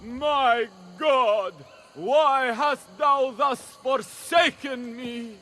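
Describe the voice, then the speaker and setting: Game role: melodramatic male stage player, very high voice, slow grand declamation. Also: pushed and bright, soft background music, exaggerated voice. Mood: anguished declaiming. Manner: declamatory, archaic passion-play delivery. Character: theatrical male stage actor playing Christ, frightened and dying. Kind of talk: dramatic game acting.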